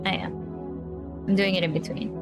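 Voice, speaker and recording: A woman from the Philippines, slightly raspy, chatty and giggly as she reads a visual novel aloud on stream. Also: lowish voice, expressive, moderate pace. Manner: chatty, giggly, reacting to the game. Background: game music.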